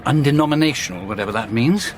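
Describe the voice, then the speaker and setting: An actor playing a confident, opinionated Victorian police inspector in a radio drama, humming and then pronouncing firmly. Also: male voice, mid-range voice, expressive, quick pace, close to an everyday voice. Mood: opinionated, assured. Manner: hesitant hums, assertive pronouncements. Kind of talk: period radio drama acting. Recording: clear background noise.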